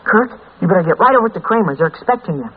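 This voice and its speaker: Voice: medium pitch, lively intonation, racing, husky. An actor playing an earnest, protective young boy, the eldest of orphans, in a folksy, plain-spoken old-time radio drama style. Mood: firm, reassuring. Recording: scratchy, tinny 1940s radio recording.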